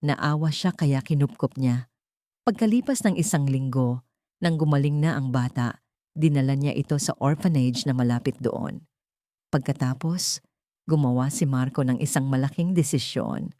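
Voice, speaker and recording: A woman's voice: very deep voice, moderate pace, mellow, no echo, studio quality. A woman reading a scripted dramatic story as an audiobook narrator.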